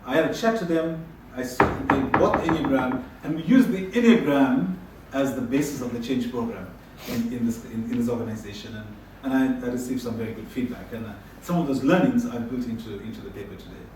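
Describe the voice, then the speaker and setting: A man's voice: mid-range voice, fairly quick. A man from South Africa gives a talk at a conference, chatting his way through it and often restarting his sentences. He is picked up from far off in a very echoey room, with a faint hiss underneath. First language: Tamil. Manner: conversational, frequent restarts and repeated words. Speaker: conference presenter. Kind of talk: presentation with audience questions.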